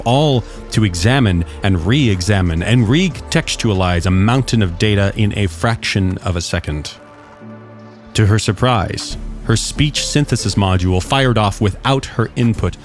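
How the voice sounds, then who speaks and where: Guy with a lowish voice narrating an audio story, reading from a script, music behind him. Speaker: author and narrator of short stories.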